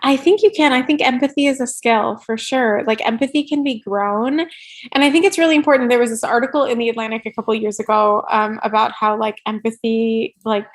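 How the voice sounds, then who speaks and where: A woman with a fairly high voice speaks as an expert guest in a conversational interview. Her phrasing is informal and spontaneous, with "like" sprinkled through her explanations and frequent tags of "right". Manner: informal, peppers speech with "like" and "right". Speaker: podcast guest, workplace inclusion expert.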